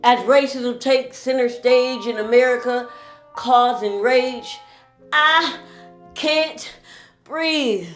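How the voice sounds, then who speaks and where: A woman performing spoken word poetry with a slow, pleading, mournful delivery. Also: high voice, roomy sound, soft background music.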